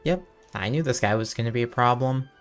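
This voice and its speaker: A woman's voice: extremely low pitch, fairly quick, faint music behind. A woman giving casual, dry and offhand commentary as she streams a video game.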